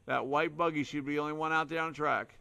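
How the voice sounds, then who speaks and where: A man calling a live RC car race with a hoarse, fading voice, rattling off names and lap times in short bursts. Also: on the high side, fairly even intonation, quick pace, sharp tone. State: hoarse, losing his voice. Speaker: race announcer.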